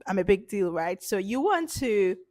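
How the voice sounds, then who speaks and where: A woman hosting an informal instructional talk as a confidence coach, speaking emphatically with repeated points and a slightly hoarse voice from a cold. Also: medium pitch, big pitch swings, moderate pace, darkish tone, dry recording, clean studio sound.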